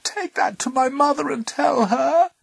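A frightened young woman from a storybook, performed by a man, pitched very high and shrill. She cries out loudly and pleads in desperation. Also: expressive, a little slow, vocal fry, no room sound, exaggerated voice. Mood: pleading. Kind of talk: storybook character voice.

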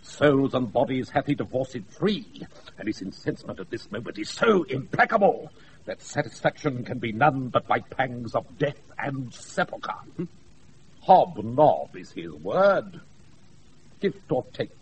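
Blustering British old knight, wildly varied pitch. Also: male voice, very animated. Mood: mock-menacing. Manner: blustering, grandiose, drawn-out mock-menacing delivery. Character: boisterous scheming old knight, mischievous drunken uncle. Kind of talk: classical radio play acting. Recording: noisy off-air radio recording.

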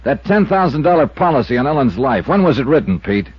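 An actor playing a brisk, wry American insurance investigator in 1950s radio drama, with clipped delivery. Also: male voice, mid-range voice, big pitch swings, husky, no room sound, lo-fi sound. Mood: brisk, probing. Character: sharp, persistent freelance insurance investigator, a man. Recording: band-limited 1950s radio recording with music.

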